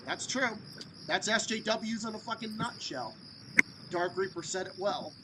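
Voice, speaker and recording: A man hosting a live stream call, ranting loudly and swearing constantly. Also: high voice, unhurried, slight background noise. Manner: profane, loud ranting.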